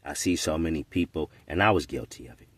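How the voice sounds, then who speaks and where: A man's voice: a bass voice, fast, no echo. A man in his forties giving an earnest, preachy, unscripted monologue, often checking in with "right?". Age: 40s. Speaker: podcast commentator.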